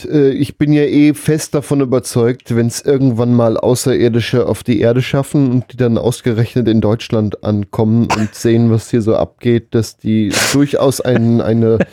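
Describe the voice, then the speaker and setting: Man, podcast co-host, relaxed dark timbre, hesitant rambling delivery, humorous casual chat, very dry sound. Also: mid-range voice, moderate pace, few pauses, dry recording.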